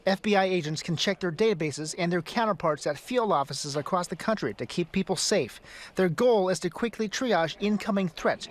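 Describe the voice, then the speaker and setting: A man reading a scripted crime report for a local TV newscast, measured and clipped. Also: high-pitched. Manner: measured, clipped news delivery.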